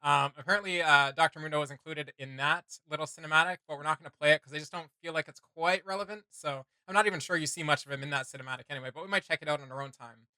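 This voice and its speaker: A man hosts a reaction video in a loose, conversational chat. His voice sits a little high and has a crisp, forward edge. The sound is dry, with hardly any room in it. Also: racing, hardly any pauses, bright, forceful tone, dry recording.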